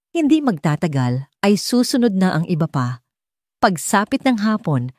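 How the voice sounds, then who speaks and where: A Filipino woman narrates a dramatic story in audiobook style. Her voice sits on the low side for a woman, and the sound is dry and close, with little room to it. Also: a little mellow, no room sound.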